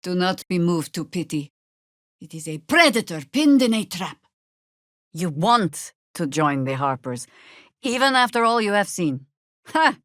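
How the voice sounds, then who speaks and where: An actress playing a weathered older female druid in a video game, wry and commanding, with a dry, deliberate delivery. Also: fairly low pitch, very animated, fairly bright tone, no echo. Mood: stern, knowing. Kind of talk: dramatic game dialogue.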